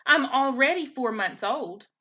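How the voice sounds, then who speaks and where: Seeking a woman to play a moody adolescent boy gosling for a storybook: childlike, emotional, bright and lively. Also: high-pitched, unhurried, highs cut off, voice pushed up, heavily acted. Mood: indignant. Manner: childlike, emotional, pleading and protesting. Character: young male gosling, moody, questioning, defiant, attached to his robot mother.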